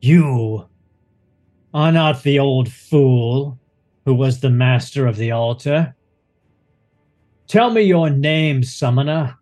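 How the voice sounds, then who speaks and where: A man voicing a female fire spirit bound to an altar, speaking slowly and grandly as she bargains. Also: medium pitch, darkish tone, faint music behind, natural voice. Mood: imperious.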